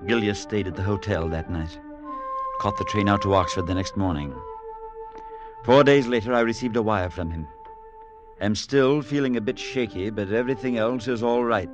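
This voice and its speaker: An actor playing a concerned, resolute priest who narrates, earnest and firm, in a late 1940s radio drama. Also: male voice, fairly low pitch, moderate pace, muffled recording, close to an everyday voice. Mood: grave, measured. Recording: vintage radio broadcast with clear music.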